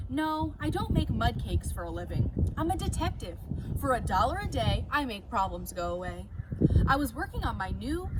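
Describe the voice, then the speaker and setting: Animated woman teacher reading aloud. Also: fairly high pitch, very noisy. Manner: animated storytelling with bright emphasis. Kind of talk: reading a children's chapter book aloud. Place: her home.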